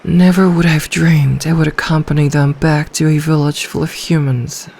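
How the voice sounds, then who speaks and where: A woman's voice: sits low, darkish tone, a little hiss or hum, exaggerated voice. A woman voicing an ancient, lonely, possessive sea dragon in human form, in a brooding, formal ASMR fantasy roleplay. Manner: slow, storybook-formal, brooding, lapses into breathy whispers.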